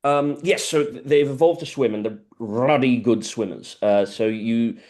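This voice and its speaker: A man's voice: medium pitch, measured pace, a little mellow. A man from South America, a native Spanish speaker, answering audience questions at a seminar in an informal, detailed way. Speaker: guest lecturer.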